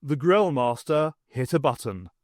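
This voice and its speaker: Man, audiobook narrator, medium pitch, scripted dramatic storytelling, science fiction, dry recording. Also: sing-song, unhurried, no room sound. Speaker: audiobook reader.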